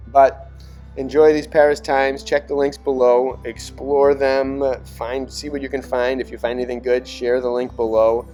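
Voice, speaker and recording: A man's voice: mid-range voice, little pitch movement, moderate pace, dark tone. A man giving casual, rambling commentary as a video narrator, musing and speculating in a conspiratorial way. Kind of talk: casual rambling commentary over archive images. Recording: music behind the voice. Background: background music.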